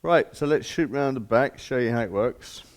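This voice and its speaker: A man's voice: medium pitch, expressive, moderate pace. A man giving an informal hands-on demonstration as a shop presenter, explaining step by step in plain, casual words.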